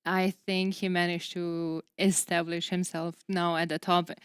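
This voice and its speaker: A woman's voice: on the low side, flat delivery, measured pace, clear, resonant tone, no room sound, clean studio sound. A woman co-commentating a live esports tournament, speaking English as a non-native language. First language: not English.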